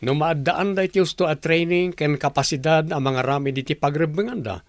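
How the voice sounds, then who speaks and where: A man's voice: fairly high pitch, brisk, no room sound, lightly acted. An actor playing a stern, demanding company boss and father in a radio drama, with an Ilocano Filipino accent. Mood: businesslike.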